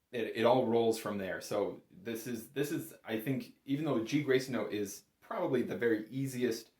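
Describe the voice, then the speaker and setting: A man's voice: on the low side, a little mellow. A man giving an informal, step-by-step music lesson in a patient, encouraging manner. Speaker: bagpipe instructor. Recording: roomy, echoey indoor sound.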